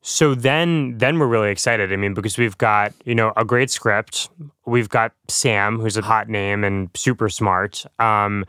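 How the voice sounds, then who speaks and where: A man's voice: on the low side, unhurried, fairly bright tone, clear, resonant tone, no echo. A man being interviewed, casually recalling events in a conversational way. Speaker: interview guest from a production team.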